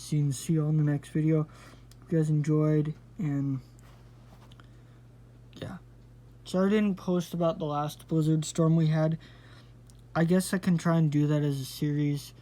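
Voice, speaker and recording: A man's voice: a little high, dark tone, poor audio. Casual, rambling male vlogger. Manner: apologetic, informal, meandering. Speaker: video channel host. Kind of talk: casual, rambling vlog talk to viewers.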